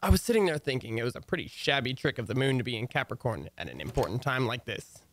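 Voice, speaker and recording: An actor playing a put-upon husband in a radio comedy, with a dry, sardonic way of talking. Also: male voice, medium pitch, expressive, quick pace, very noisy, close to an everyday voice. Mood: wry. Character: wry, put-upon husband narrating his domestic troubles. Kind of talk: old-time radio comedy narration and dialogue.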